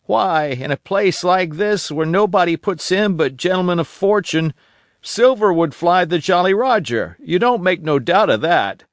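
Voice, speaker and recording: Man voicing an old marooned sailor, eager, cunning, rustic dialect, high bouncy voice, dry sound. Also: animated, a little slow, no room sound. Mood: confiding. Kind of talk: audiobook character voice.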